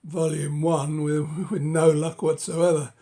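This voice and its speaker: A middle-aged man with a cold, speaking hoarsely and haltingly in a casual show-and-tell of his books, often dropping to a whisper. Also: a little high, level tone, mellow. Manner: halting, many ums and uhs, drops to a whisper. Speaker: book collector vlogger.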